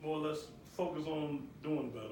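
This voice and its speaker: A man playing a halting, hopeful father in his fifties. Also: a little high, steady tone, echoey room, recorded from a distance, background noise, natural voice. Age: 50s. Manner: halting, repeats words, many "you know"s. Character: hopeful middle-aged father in family therapy. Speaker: father in a role-played therapy session. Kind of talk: improvised role play.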